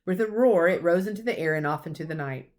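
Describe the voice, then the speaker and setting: A woman teacher reading a storybook aloud to children with expressive narration. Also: fairly low pitch, fast.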